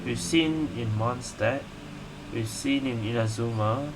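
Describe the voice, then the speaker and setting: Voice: mid-range voice, measured pace, very noisy. A gaming streamer giving casual playthrough commentary, reacting to the game and repeating its dialogue aloud. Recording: loud game music behind voice. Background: game music.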